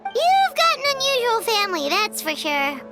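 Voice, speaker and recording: A woman voicing a tiny, curious childlike companion in a video game, with a squeaky, exclamatory delivery. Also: sing-song, moderate pace, exaggerated voice. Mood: musing. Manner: inquisitive, exclamatory. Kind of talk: scripted video game dialogue. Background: prominent background music.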